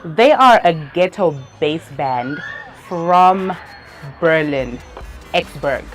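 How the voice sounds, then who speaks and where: A woman from Zimbabwe hosting a youth talk show, interviewing in a lively, encouraging way and laughing easily. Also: low voice, slow pace, faint music behind. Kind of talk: casual interview. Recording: faint background noise.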